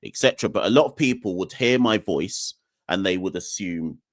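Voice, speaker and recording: Jamaican-born guy hosting a cricket podcast, lowish voice, dry close sound, chatty and emphatic, lots of "yeah?" and "right?". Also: expressive, moderate pace, dry recording. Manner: conversational, emphatic, frequent fillers and tag questions. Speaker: podcast host. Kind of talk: casual solo podcast talk.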